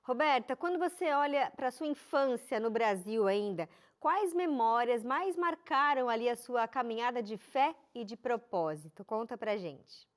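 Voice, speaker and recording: A woman presents a TV interview show, her delivery clear and measured like a practiced presenter. Her voice is a touch higher than most. She introduces her guest and poses her questions in an even, polished way. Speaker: TV show host.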